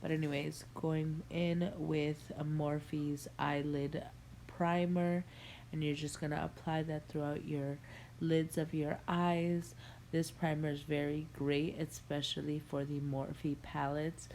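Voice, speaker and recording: Young female beauty vlogger, nasal from a cold, low-pitched and unhurried; casual tutorial patter at home. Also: slight background noise. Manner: relaxed, chatty, addresses viewers as guys. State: stuffy nose from a cold. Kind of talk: makeup tutorial walkthrough. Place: home room with a Christmas tree.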